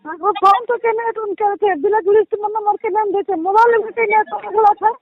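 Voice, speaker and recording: A woman's voice: extremely high pitch, steady tone, a little fast. A middle-aged woman, scolding and reproachful in a heated phone conversation, often repeating herself. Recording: tinny narrowband phone call recording.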